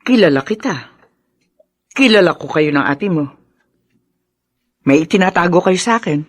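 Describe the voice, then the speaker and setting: A protective, widowed Filipino mother in middle age. Her delivery is stern and insistent, full of emotional drama, with wide swings in pitch and a dry, close sound. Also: animated, normal speed, no room sound. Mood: suspicious. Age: middle-aged. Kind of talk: Filipino family drama acting.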